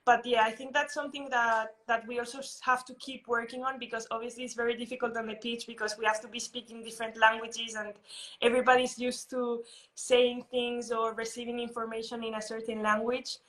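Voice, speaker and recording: A woman's voice: fairly high pitch, little pitch movement, a little fast, a bit bright, rough recording. A young woman answering interview questions casually, thoughtful and full of fillers and restarts. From Spain. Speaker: interviewee, national team footballer.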